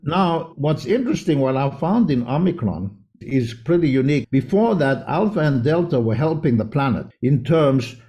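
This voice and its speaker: Man, older, dark mellow tone, lecturing, emphatic, interview guest. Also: on the high side, lively intonation, moderate pace, hardly any pauses, muffled recording. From South Africa. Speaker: podcast guest, homeopath. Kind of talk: conversational interview.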